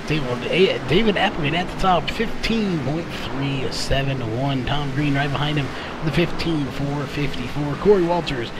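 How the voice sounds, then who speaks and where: A man calling qualifying times as a race commentator, his voice going hoarse. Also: on the high side, noisy background. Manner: steady, methodical calling of lap times. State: losing his voice. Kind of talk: play-by-play commentary.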